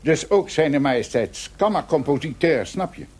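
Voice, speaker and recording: A man's voice: fairly high pitch, animated, moderate pace, a little mellow, breathy edge. A man playing a curt, condescending court theatre official in a radio drama, with a dismissive, officious manner.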